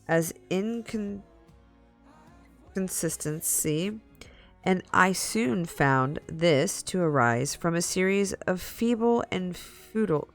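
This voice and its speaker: A woman livestream host reading aloud in a halting way, stumbling over long words. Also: sits low, gravelly. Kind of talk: dramatic story reading with casual chat asides.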